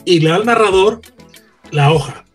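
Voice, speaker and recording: A man's voice: high-pitched, moderate pace, soft background music. A man, a radio veteran chatting and telling anecdotes emphatically, repeating phrases for effect. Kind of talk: animated anecdote-telling in a panel chat.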